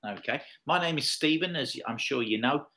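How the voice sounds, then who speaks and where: A man's voice: mid-range voice, expressive, moderate pace, pushed and bright, slight background noise. A man in his fifties from England speaks with an English accent and a mid-range voice. He is tutoring one-to-one in a conversational way. He often repeats his questions and phrases so a learner can follow. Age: 50s. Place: online video lesson call.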